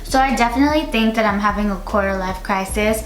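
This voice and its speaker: A woman's voice: medium pitch, fast. A woman in her twenties chatting casually through a makeup tutorial, with a lot of "like" fillers. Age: 20s. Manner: chatty, uses "like" often. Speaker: beauty vlogger. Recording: echoey room with music behind.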